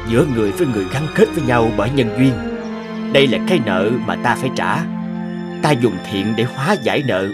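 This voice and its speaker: A man plays a wise Zen master in an animated folk tale. He is calm and forbearing, with a mid-pitched voice and prominent music underneath. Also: fast talker, close to an everyday voice. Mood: serene. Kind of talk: cartoon folk tale dubbing.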